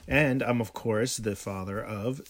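A man's voice: lowish voice, measured pace, a little hiss or hum. A middle-aged man co-hosting a casual home podcast, rambling with many ums and restarts. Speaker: podcast co-host.

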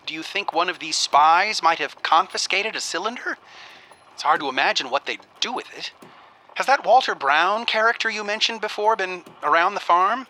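A man's voice: on the high side, pushed and bright. A man playing an earnest, worried scholar dictating a recorded message in a precise, thoughtful way. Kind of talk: radio drama recorded letter. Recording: faintly noisy recorded cylinder message.

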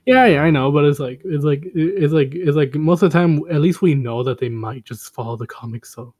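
A man's voice: on the high side, brisk, darkish tone, breathy edge, dry recording, clean studio sound. A young man co-hosting a casual podcast, talking bluntly in slang and stumbling over restarts. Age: young adult. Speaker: podcast co-host. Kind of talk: casual chat among friends.

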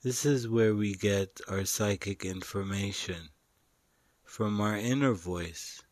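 Low-voiced man guiding a slow meditation. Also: darkish tone, dry recording. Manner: soothing, instructional, pausing between short phrases. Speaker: guided meditation narrator. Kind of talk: guided meditation.

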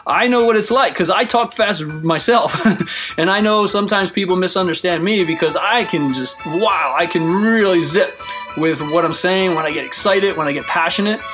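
A podcast host giving casual, encouraging advice in an earnest, emphatic way, speaking at a middle pitch. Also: normal speed, over music. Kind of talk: casual motivational advice talk.

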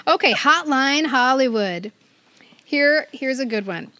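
A woman's voice: high voice, animated, slow pace, bright, forceful tone, dry recording. A middle-aged American woman co-hosting a podcast, chatting casually and reading out magazine items. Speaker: podcast co-host.

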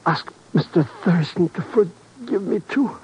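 An actor playing a self-pitying wounded rogue, halting and melodramatic, in a 1950s radio drama. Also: male voice, high-pitched, lively intonation, darkish tone. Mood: weak, plaintive. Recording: noisy old radio broadcast recording.

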